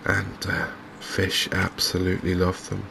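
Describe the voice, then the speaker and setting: A man hosting a gaming video in a softly spoken ASMR commentary style, unhurried and hesitant, musing to himself. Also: low-pitched, completely flat delivery, vocal fry, noisy background.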